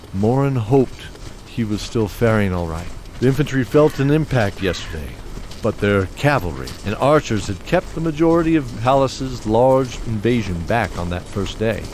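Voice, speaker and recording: A man narrating an audio drama in a measured, descriptive storytelling manner. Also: lowish voice, darkish tone, slight background noise. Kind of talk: scripted narration. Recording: music bed under voice.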